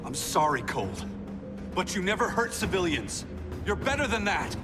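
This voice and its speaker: Earnest superhero, animated higher voice. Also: male voice, normal speed, music in the background. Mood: regretful. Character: earnest male superhero speedster, principled and weary. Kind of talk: dramatic video game cutscene acting.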